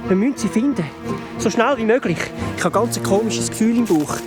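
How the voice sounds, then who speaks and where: A woman's voice: fairly high pitch, lively intonation, fairly quick, lightly acted. A woman as a grave searcher over music. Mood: grave, worried. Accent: Swiss German. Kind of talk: children's radio play acting. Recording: music behind the voice.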